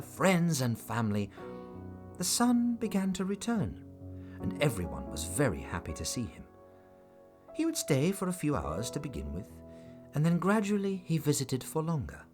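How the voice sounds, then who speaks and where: A man narrating a scripted fairy tale for a family audio drama as its storyteller. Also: mid-range voice. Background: music.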